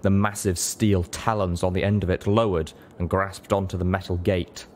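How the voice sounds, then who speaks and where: Low-pitched man narrating a story. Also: little pitch movement, normal speed, slight background noise. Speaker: audiobook-style reader, show host.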